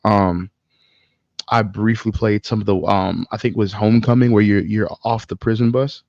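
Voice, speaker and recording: Gravelly low-voiced man in casual podcast chat. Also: steady tone, moderate pace, darkish tone, no echo, muffled recording. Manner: informal, opinionated, swears casually. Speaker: podcast co-host. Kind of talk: casual chat about movies and games.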